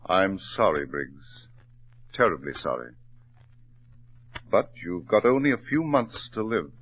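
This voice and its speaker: Grave doctor with husky, low voice. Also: male voice, sing-song, fairly bright tone, muffled recording, exaggerated voice. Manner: measured, solemn, persuasive. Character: smooth-talking, grave male doctor, outwardly sympathetic. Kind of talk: 1940s radio drama acting.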